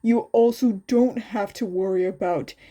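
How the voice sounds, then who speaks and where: A woman voicing a tired, matter-of-fact male high school teacher with a flat, authoritative manner in a story reading. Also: unhurried, lightly acted.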